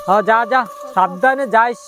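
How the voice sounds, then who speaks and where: A man's voice: high voice, moderate pace, noisy background, lightly acted. A man playing an anxious village woodcutter and father in an amateur horror drama, speaking in repetitive exclamations. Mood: busy, instructing.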